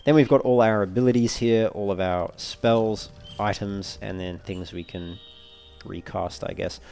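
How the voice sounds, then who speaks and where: A man's voice: fairly low pitch, unhurried, vocal fry, a little mellow, faint music behind. A man giving casual commentary on a video game walkthrough, thinking aloud as he reads through menus. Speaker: let's player.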